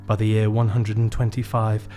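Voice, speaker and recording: Man playing gloomy low-voiced shopkeeper narrator. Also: completely flat delivery, warm tone, natural voice. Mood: melancholy. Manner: melancholy, theatrical, measured storytelling. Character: lonely, gloomy male tuxedo shop owner narrating his tale. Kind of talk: scripted first-person radio play narration. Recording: music bed behind the voice.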